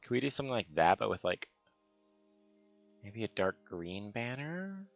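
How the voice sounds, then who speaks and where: Gravelly low-voiced man thinking aloud. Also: very animated, normal speed, soft background music. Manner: hesitant, musing, talks to himself. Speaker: gaming video host. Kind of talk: casual Minecraft building commentary, thinking aloud.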